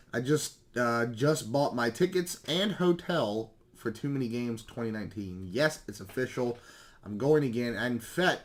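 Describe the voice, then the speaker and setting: A man with a slightly hoarse voice chats casually and ramblingly as a video host showing off recent pickups. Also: medium pitch, a bit bright. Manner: rambling, self-correcting, mild swearing. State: slightly hoarse, losing his voice. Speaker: YouTube video game collecting host.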